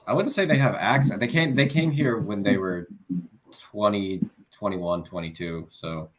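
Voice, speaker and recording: A man's voice: on the low side, moderate pace. A man from Virginia in the United States, chatting casually and jokily on an online call. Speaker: participant in an online open mic. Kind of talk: casual chat.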